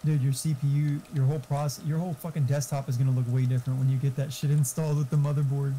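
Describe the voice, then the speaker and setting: A man with a soft, mellow voice at an average pitch talks casually in a gaming chat. He explains things in a rambling, sweary way, with some noise on the recording. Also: flat delivery, fast. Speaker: gamer friend giving tech advice. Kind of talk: casual chat during a game stream.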